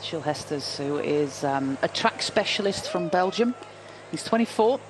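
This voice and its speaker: A woman's voice: sits low, lively intonation, moderate pace, background noise. A woman in her forties commentating a bike race, chatty and anecdotal with many ums. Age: 40s. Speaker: cycling commentator. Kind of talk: live race commentary chat. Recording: commentary over loud race ambience.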